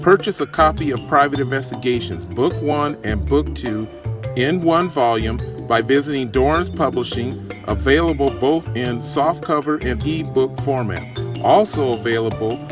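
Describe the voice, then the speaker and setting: A man's voice: medium pitch, moderate pace. A man reading a scripted promotional voice-over as an announcer. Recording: music behind the voice. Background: clear music.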